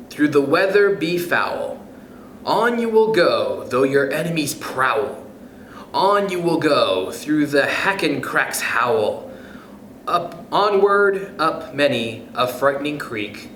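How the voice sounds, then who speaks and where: Man, youth club storyteller, unhurried and sing-song, playful picture-book reading, echoey room. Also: a little high, slight background noise. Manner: expressive, playful, chuckles between passages. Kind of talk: children's picture book read-aloud.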